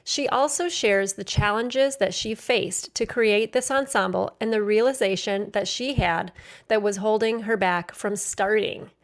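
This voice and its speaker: Woman podcast host, middle pitch voice, friendly, upbeat interviewer. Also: measured pace.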